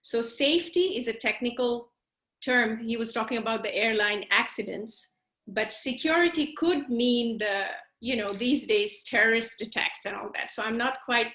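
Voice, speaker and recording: A woman with a slightly high voice gives feedback in class. She speaks politely and hesitantly, feeling her way through each point. Her video call audio echoes as if from a bare room. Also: normal speed, a bit bright. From Bangladesh. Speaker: trainee interpreter. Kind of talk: giving peer feedback in a class.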